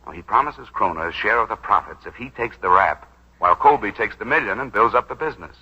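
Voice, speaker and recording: An actor playing a brash, wry lawyer who schemes and smooth-talks, in 1940s radio drama style. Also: male voice, on the low side, lively intonation, bright, forceful tone, exaggerated voice. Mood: earnest, scheming. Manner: wry, fast-witted, smooth-talking. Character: brash, wisecracking young lawyer turned schemer, slick and probing. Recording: dull 1940s radio broadcast recording.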